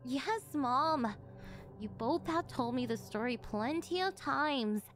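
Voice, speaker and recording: A woman voicing an exasperated, disbelieving teenage schoolgirl in an ASMR roleplay, with a sharp, incredulous manner. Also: sits high, expressive, slow.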